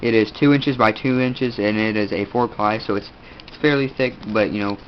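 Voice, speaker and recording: A teenage boy with a stuffed-up nose, casually showing his kit and rambling with lots of fillers. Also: lowish voice. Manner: rambling, halting, many fillers. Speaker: video host. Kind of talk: casual gear show-and-tell. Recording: dull lo-fi audio, faint room noise.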